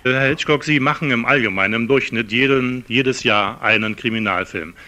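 Bright, forceful male interviewer. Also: mid-range voice, normal speed. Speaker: radio interviewer. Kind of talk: formal prepared interview questions.

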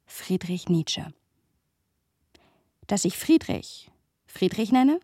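A woman's voice: medium pitch, big pitch swings, drawn-out words, warm tone, breathy edge, dry recording, studio quality, exaggerated voice. An actress playing a wry, playful young woman who narrates memories of a friend in an intimate radio play style. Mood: wistful.

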